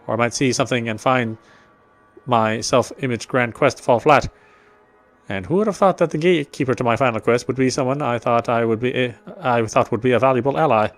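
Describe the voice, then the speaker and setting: A man reading visual novel narration aloud as a let's player, sometimes stumbling and rereading phrases. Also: mid-range voice.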